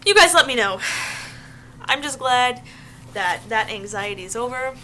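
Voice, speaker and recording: A young woman with a bright, forward voice talks casually to her viewers. Her delivery is informal and animated, like a vlogger giving advice to friends. A faint noise sits behind her voice. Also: fairly high pitch, a little slow, low-quality recording. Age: young adult. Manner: informal and animated, slangy asides, acts out quoted questions. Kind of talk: casual chatty vlog to viewers.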